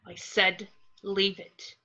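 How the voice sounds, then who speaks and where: A woman's voice: mid-range voice, level tone, slow, sharp tone. A woman reading the part of a sulky, sarcastic teenage wizard boy in a script table read. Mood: irritated, sarcastic. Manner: grumbling, sarcastic. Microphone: video call. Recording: dull lo-fi video call audio.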